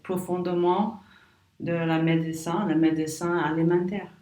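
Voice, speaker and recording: Slow, hesitant English-Canadian woman. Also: lowish voice, level tone, warm tone, reverberant, distant mic. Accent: English-speaking Canadian. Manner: hesitant, searching for words in a second language. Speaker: guest, naturopath. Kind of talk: podcast interview.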